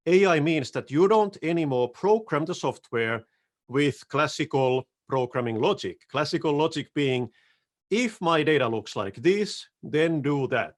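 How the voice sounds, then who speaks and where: A middle-aged man from Finland with a Finnish accent, presenting a technical talk over a video call in a relaxed, self-deprecating way. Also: fairly high pitch. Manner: relaxed, self-deprecating, occasional restarts and throat clearing. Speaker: solutions architect presenting. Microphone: headset on video call.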